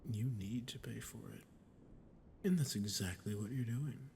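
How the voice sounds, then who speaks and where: A man voicing an ancient sadistic demon in an ASMR roleplay, breathy and often near a whisper, taunting and condescending as he speaks straight to the listener. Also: medium pitch, a little slow, a little mellow, a little hiss or hum. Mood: scornful. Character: ancient sadistic male demon, condescending, menacing, seductive manipulator. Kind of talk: scripted ASMR roleplay monologue.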